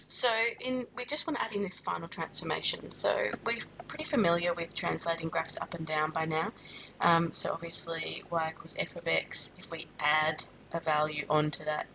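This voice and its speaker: A woman from Australia talks through a maths lesson, her nose stuffed up from a sinus infection. Her voice sits low with a gravelly edge, and she explains steadily with frequent ums and self-corrections. A rumbling background noise runs under the recording. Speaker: maths teacher.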